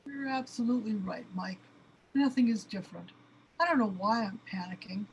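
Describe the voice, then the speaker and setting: A woman's voice: medium pitch, animated, normal speed, slight background noise, lightly acted, rough recording. A woman acting in a radio play as an overwhelmed, panicky cosmic being, anguished and questioning. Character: overwhelmed, panicky cosmic being trapped in its own space body.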